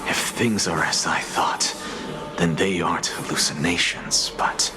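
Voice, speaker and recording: An actor playing a wry, haughty young scholar in a video game, with a measured, sardonic, theatrical delivery. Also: male voice, low-pitched, over music. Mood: pensive.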